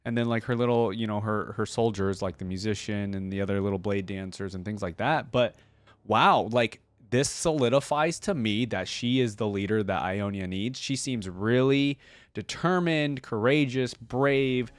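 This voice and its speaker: A man's voice: fairly low pitch, normal speed, no echo, studio quality. A man hosting a reaction video, commenting casually and enthusiastically, musing aloud. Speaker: YouTube reaction host.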